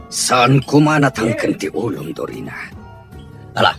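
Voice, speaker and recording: A man's voice: medium pitch, big pitch swings, a little slow, fairly bright tone. An indignant father in a family audio drama, acted by a man, scolding and insistent in a mid-range voice with big swings of intonation. Light music sits behind him. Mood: stern. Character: stern, indignant father determined to bring his daughter home. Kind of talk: amateur audio drama acting.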